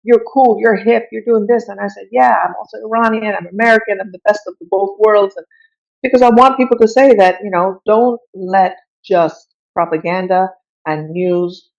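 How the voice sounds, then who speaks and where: A woman's voice: medium pitch, fairly even intonation, normal speed, vocal fry, a little mellow, dull audio. A middle-aged woman from Iran, a guest telling personal stories in a casual interview in an earnest, reflective way, often saying "you know".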